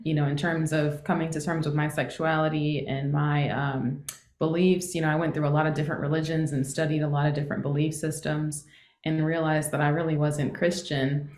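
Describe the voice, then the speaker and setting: American woman, congregation member sharing her story casually; very low, fairly even pitch, roomy echo. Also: a little fast. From East Coast United States. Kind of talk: casual personal testimony.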